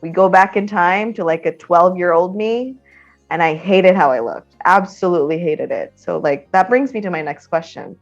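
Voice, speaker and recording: A woman's voice: on the low side, moderate pace, muffled recording, faint music behind. A woman in her twenties hosting a podcast from her room, talking casually and conversationally with many fillers. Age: 20s. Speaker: podcast host. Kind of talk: casual interview. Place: her own room, at home.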